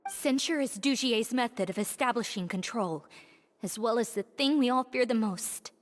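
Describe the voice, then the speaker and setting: A woman's voice: a little high, normal speed, lightly acted. An actress playing a frightened, earnest young woman in a video game, speaking carefully and deferentially. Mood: anxious, earnest. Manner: careful, formal, hesitant.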